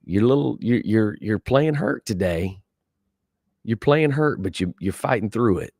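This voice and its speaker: Deep-voiced man hosting a podcast. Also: animated, unhurried, a little mellow, slightly rough, no room sound, studio quality. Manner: upbeat, friendly banter. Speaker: podcast host. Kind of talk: casual podcast chat.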